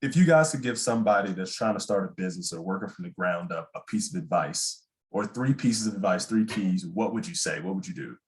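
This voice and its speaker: A man's voice: sits low, animated, a little fast. A man co-hosting a podcast, laid-back and teasing in casual chat. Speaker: podcast co-host.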